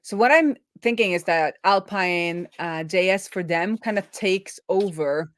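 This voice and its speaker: A woman's voice: fairly low pitch, measured pace, slight background noise. A woman hosting a live coding stream in casual chat, informally thinking aloud as she explains. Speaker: live stream host, web developer.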